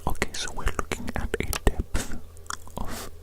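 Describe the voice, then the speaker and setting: An ASMR performer speaking in a breathy, unvoiced whisper, fussy and deliberate, repeating phrases in a roleplay.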